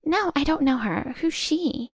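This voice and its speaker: A woman's voice: sits high, lively intonation, unhurried, dry recording, voice pushed up. A woman voicing a defensive, indignant cheating wife in a dramatic audio story. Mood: flustered denial. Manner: pleading, indignant outbursts.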